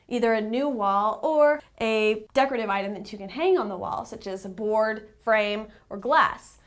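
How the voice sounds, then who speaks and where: A woman narrating a how-to tutorial, explaining things step by step as an instructor. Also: a little high. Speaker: instructor, company representative.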